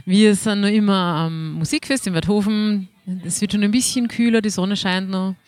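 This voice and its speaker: A woman's voice: medium pitch, fairly even intonation, normal speed, a little mellow. A woman with an Austrian German accent, hosting a podcast outdoors at a music festival and introducing a guest in an upbeat, welcoming way. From Waldviertel, Austria. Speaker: podcast host.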